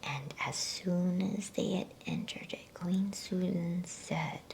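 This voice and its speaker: Female ASMR reader, whispered, crackly creak, soothing, unhurried bedtime storytelling. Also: on the low side. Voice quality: soft breathy whisper. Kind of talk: whispered ASMR storybook reading with casual asides.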